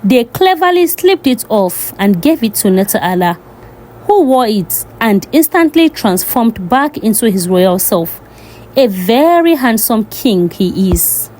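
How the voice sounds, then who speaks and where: Animated, high-voiced woman storyteller. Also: a little slow, low-quality recording. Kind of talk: scripted folktale narration.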